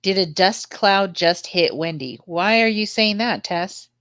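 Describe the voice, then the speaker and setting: A woman's voice: medium pitch, a little slow, fairly bright tone, dry recording, clean studio sound. A woman with a slightly stuffed-up nose, chatting casually with viewers on a livestream panel. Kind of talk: casual chat with live viewers.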